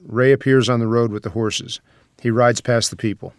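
A man's voice: medium pitch, steady tone, moderate pace, dry recording, clean studio sound. A man reading scripted stage directions as the narrator of a screenplay table read.

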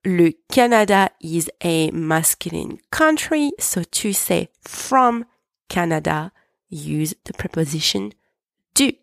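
Slow, patient woman teaching French. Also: on the low side, animated, dry recording, clean studio sound. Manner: clear, patient explaining with French examples repeated slowly. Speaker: French teacher, podcast host. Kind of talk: podcast language lesson.

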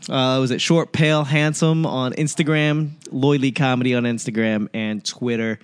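A man's voice: mid-range voice, steady tone, normal speed, clear, resonant tone. Male podcast host, loose and profane, teasing banter. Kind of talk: casual podcast chat.

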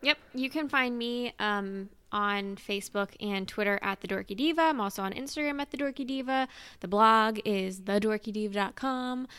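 A woman's voice: mid-range voice, moderate pace, fairly bright tone, clean tone, dry recording. A woman hosting a casual fan podcast, chatting informally. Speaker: podcast host.

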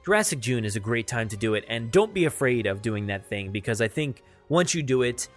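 A man's voice: medium pitch, expressive, brisk. Casual male podcast host over music. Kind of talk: casual solo podcast talk.

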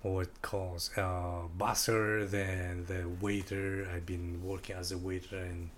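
A man with a Russian accent, a guest in a casual chat, speaking in halting English and searching for words. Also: deep voice, little pitch movement, a little hiss or hum.

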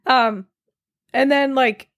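A woman's voice: high voice, steady tone, slow pace, a bit bright, dry recording, studio quality. A woman co-hosting a casual film discussion, enthusiastic and swearing casually.

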